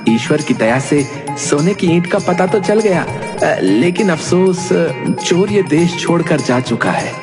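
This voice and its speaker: A man's voice: lively intonation, unhurried, hardly any pauses, long reverb tail, over music. A man playing a sly cartoon trickster. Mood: fawning. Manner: sly, low and self-satisfied. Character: sly scheming male trickster posing as a seer. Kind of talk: cartoon voice acting.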